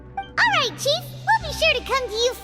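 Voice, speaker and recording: A tiny fairy sidekick with an extremely high, squeaky child's voice. The delivery swings wildly in pitch, chirpy and talkative, as in an animated video game, with music clearly playing behind it. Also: female voice, moderate pace. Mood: chatty. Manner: chirpy, refers to self in third person. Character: tiny excitable childlike female fairy companion, cheerful and talkative.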